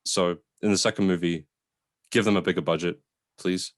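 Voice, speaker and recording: A man's voice: low-pitched, fairly even intonation, moderate pace. A man co-hosting a casual review discussion, speaking informally and opinionatedly with some swearing. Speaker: podcast co-host reviewing films.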